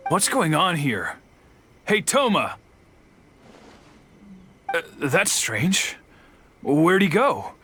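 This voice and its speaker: An actor playing an unsure but proud junior samurai in a video game, hesitant and fretful. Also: male voice, a little high, sing-song, extremely slow, long pauses, sharp tone, a little hiss or hum, full character voice. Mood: puzzled.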